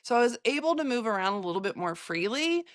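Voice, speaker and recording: An American woman, a photographer, recounting her travels in an interview, halting often with fillers and restarts. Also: a little high, sing-song, no room sound, clean studio sound. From United States.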